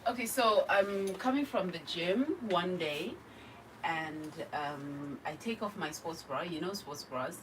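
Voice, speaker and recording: A Zimbabwean woman speaks in a lowish voice with her accent clear in every phrase. She tells her own story candidly and with energy, acting out the people she quotes. The studio mic catches her from a little distance, over a faint hiss. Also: a little slow. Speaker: radio guest, cancer survivor. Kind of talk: personal story told in a radio interview. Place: radio studio.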